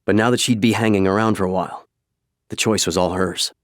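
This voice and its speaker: A man's voice: on the low side, fairly even intonation, a little fast, no room sound, clean studio sound. A man reading scripted fiction as an audiobook narrator.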